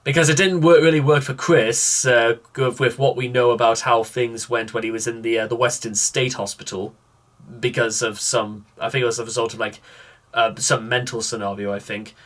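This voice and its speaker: A man delivers unscripted commentary on a recording. His voice sits at a middling pitch, and he muses aloud with an exasperated manner, working through his thoughts as he goes. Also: steady tone, normal speed, a bit bright. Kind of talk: off-the-cuff reaction commentary.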